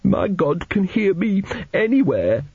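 A timid, chubby young schoolboy, speaks in a high, husky voice. He stammers tearfully with pious fervour, in storybook style. The recording is slightly dull. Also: sing-song, unhurried, darkish tone, no room sound, exaggerated voice. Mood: earnest, timid. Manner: stammering, hesitant. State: tearful, sniffling.

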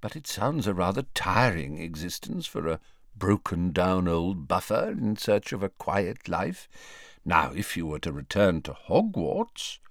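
A man voicing a serene, courteous, very old wizard headmaster in an audiobook, measured and gently ironic. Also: low-pitched, very animated, dry recording, clean studio sound, full character voice. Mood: dry. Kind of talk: audiobook character voice.

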